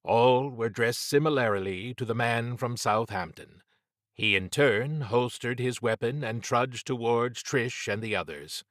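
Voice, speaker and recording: Slow, measured male audiobook narrator. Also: mid-range voice, a bit bright, dry recording, clean studio sound. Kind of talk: scripted horror-adventure narration.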